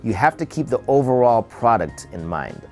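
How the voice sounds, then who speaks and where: A man presenting an audio tutorial in an instructional, measured, step-by-step way. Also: mid-range voice, very animated, darkish tone, soft background music. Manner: explanatory, measured step-by-step delivery. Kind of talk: instructional narration.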